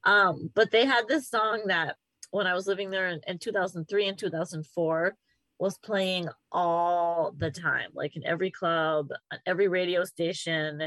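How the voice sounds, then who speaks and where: A woman's voice: fairly low pitch, fairly even intonation, moderate pace, a bit bright, no echo, poor audio. A woman co-hosting a podcast, chatting casually with frequent ums. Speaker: podcast co-host.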